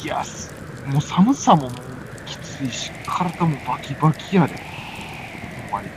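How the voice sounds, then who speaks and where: A man's voice: fairly high pitch, very animated, moderate pace. A man with a Kansai accent playing an anxious, weary stranded climber in an amateur comic radio drama, earnest and exclamatory. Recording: noisy amateur recording.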